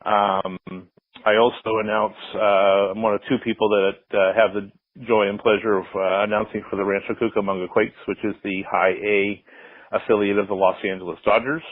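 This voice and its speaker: A middle-aged American man with a fairly low voice speaks casually as an interview guest. He rambles reflectively, pausing often between phrases. The sound is narrow and phone-like. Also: flat delivery. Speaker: interview guest, sports public address announcer. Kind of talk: casual conversational interview answers. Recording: roomy, slightly muffled phone-like line.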